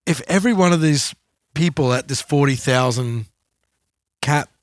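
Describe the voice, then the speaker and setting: Male Australian co-host for casual podcast chat, average-pitched voice, no room sound. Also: normal speed, no echo, studio quality.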